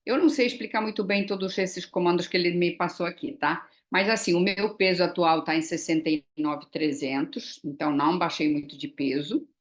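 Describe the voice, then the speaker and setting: A woman's voice: fairly low pitch, a little fast, hardly any pauses. Chatty woman in her sixties. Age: 60s. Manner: chatty, explanatory, many fillers and tag questions. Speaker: vlogger. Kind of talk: casual chat.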